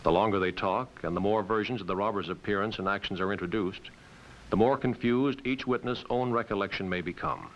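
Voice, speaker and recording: Deep male narrator, muffled old film sound. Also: fairly bright tone. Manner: formal, measured, authoritative. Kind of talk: scripted documentary narration.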